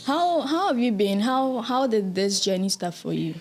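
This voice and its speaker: A young woman hosting an interview podcast, opening with a measured, scripted introduction. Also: on the high side, sing-song. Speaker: podcast host. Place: podcast studio with sofas. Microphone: studio mic on boom arm.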